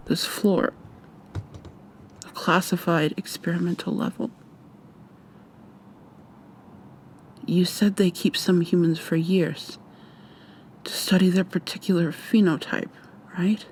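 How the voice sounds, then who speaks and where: A woman playing a defiant, frightened young human captive in an intimate, hushed, breathy ASMR roleplay, tense and sweary. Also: lowish voice, slight background noise, natural voice. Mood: urgent, hopeful. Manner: tense, profane, confrontational.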